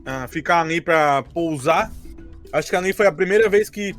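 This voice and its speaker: Casting: Brazilian man, slightly high voice, casual and jokey game-recap podcaster, light music bed underneath. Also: a bit bright. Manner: joking, quick to laugh. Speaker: game master of a tabletop RPG campaign, podcast member.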